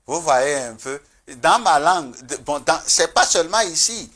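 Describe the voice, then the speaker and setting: A man's voice: a little high, expressive, a bit bright. Emphatic man on a talk show. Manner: earnest, emphatic, pauses and restarts. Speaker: traditional spiritual guest.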